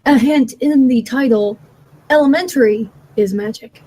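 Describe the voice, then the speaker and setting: A woman with a notably high voice speaks as a podcast co-host. She makes casual announcements to her listeners in a panel-talk setting. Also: moderate pace, warm tone, breathy edge, rough recording.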